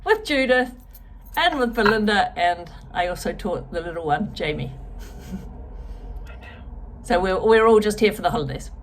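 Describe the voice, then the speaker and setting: A woman teacher introducing herself loudly and cheerfully. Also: medium pitch, expressive, moderate pace, long pauses, a little hiss or hum. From New Zealand.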